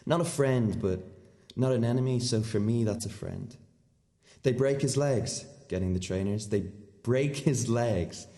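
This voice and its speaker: An actor playing a naive, earnest seventeen-year-old schoolboy in a radio play monologue, telling his story in clipped, deadpan fragments. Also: mid-range voice, unhurried, lightly acted. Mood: puzzled, matter-of-fact. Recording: long hall-like reverb.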